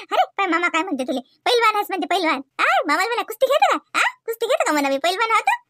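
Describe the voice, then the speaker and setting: A woman's voice: very high voice, big pitch swings, quick pace, a bit bright, dry recording, studio quality, heavily acted. A woman playing a doting, chatty village mother in a Marathi comedy cartoon, with a rural Vidarbha accent, cooing in baby talk and teasing. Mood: teasing, amused.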